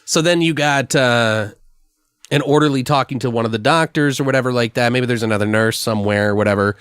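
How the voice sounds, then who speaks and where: A man's voice: medium pitch, fairly quick, fairly bright tone, clear, resonant tone, no room sound. A man hosting a podcast, brainstorming in a loose, rambling, slangy and profane way. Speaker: podcast host. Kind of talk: casual brainstorming chat.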